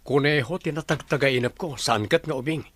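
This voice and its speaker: A man's voice: mid-range voice, brisk, a bit bright, dry recording, close to an everyday voice. A man acting an earnest young boss with an Ilocano accent in a radio drama. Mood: puzzled.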